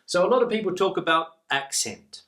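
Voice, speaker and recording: A man with an Australian accent, an English teacher explaining vocabulary clearly and repeating the key words. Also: high-pitched, animated, a little fast, sharp tone. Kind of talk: online English lesson.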